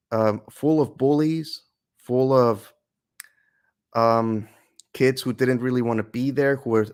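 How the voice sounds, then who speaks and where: A man who teaches English, speaking as an interview guest in a thoughtful, halting way with frequent restarts. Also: medium pitch. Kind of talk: reflective interview conversation.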